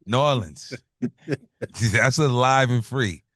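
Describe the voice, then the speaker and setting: A man's voice: mid-range voice, slow pace, fairly bright tone, no room sound, clean studio sound. A man co-hosting a radio talk show, joking and teasing in casual banter.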